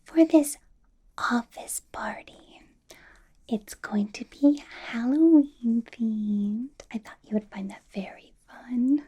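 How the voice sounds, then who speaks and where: A woman playing a possessive, doting female vampire boss in a whispered ASMR roleplay, coaxing softly with a sweetly sinister edge. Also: a little high. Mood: flirty. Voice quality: breathy, whispered. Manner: slow, coaxing, soft giggly delivery.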